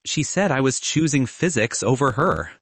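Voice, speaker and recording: A man's voice: mid-range voice, sing-song, normal speed, fairly bright tone, husky, no room sound, full character voice. A man playing an insecure young male physics student. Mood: rueful. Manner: hesitant, defensive, self-doubting.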